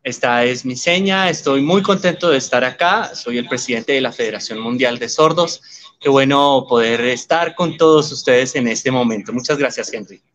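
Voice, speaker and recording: A man voicing guests' introductions in live interpretation, even and measured. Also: medium pitch. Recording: slightly muffled audio.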